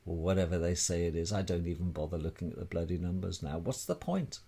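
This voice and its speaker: A man's voice: sits low, quick pace, vocal fry, warm tone, husky. A man giving an informal, emphatic explanatory monologue as an amateur presenter, repeating phrases and posing rhetorical questions.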